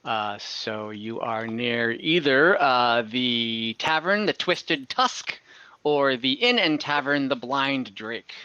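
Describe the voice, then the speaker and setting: A woman's voice: extremely low pitch, expressive, takes it slowly, sharp tone, clear, resonant tone, no echo, highs cut off. A woman acting as game master, casually narrating a tabletop game in a hesitant, amused way.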